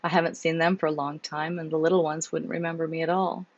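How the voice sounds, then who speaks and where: A woman with a cold voices a guarded man with a troubled past, measured and reasoning as he talks. Her hoarse, gravelly voice comes through a dull, dry lo-fi recording. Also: deep voice, flat delivery, no room sound. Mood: thoughtful.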